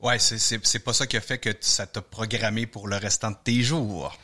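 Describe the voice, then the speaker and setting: Man, podcast host, bright tense tone, relaxed, laughs easily, casual questions. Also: fairly low pitch, moderate pace.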